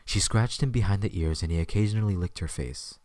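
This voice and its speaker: A man's voice: deep voice, fairly quick, darkish tone. A man narrating a scripted story as the narrator of an audio drama.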